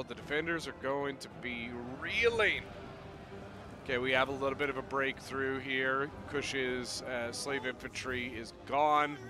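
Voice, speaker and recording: A man casting a strategy game battle play-by-play in a chatty, excitable way, his voice a little off as he gets over being sick. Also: mid-range voice. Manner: chatty, excitable, bursts of loud exclamation. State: voice a little off from recent illness. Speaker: game commentator.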